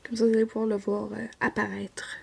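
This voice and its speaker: A woman's voice: medium pitch, level tone, fairly quick, gravelly, a little mellow, slightly rough. A woman in her thirties with a Québécois French accent, chatting casually to viewers while she crafts and rambling, self-correcting, and often trailing off into a near whisper. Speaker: diamond painting vlogger.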